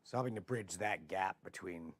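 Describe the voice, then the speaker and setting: An American man with a rough, hoarse voice hosting a casual live interview, talking in halting, rambling phrases. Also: low voice. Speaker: TV show host.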